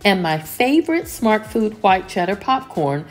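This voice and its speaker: A woman narrating a home cleaning vlog as a calm, scripted voice-over, steady and homey, with lines that fall at the end. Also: medium pitch, unhurried, rough recording. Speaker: cleaning vlogger.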